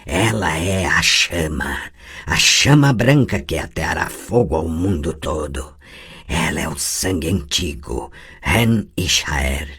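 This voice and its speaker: A man's voice: rumbling low, lively intonation, slow pace, gravelly, fairly bright tone, slightly rough, heavily acted. A man reading an audiobook and voicing a cold, metallic, inhuman presence that speaks with cruel scorn. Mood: menacing. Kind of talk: audiobook character voice.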